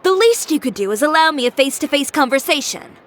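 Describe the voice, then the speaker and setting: A woman's voice: high voice, expressive, fast, fairly bright tone, a little hiss or hum. A woman playing a proud, blunt, impatient fashion designer in a video game, with a self-assured, cutting manner. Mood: blunt, assertive.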